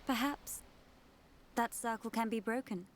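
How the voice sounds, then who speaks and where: An earnest, hopeful young female ally played by a woman in a game cutscene. Her voice is fairly high, with clear noise in the background. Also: unhurried, lightly acted. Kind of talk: video game cutscene acting.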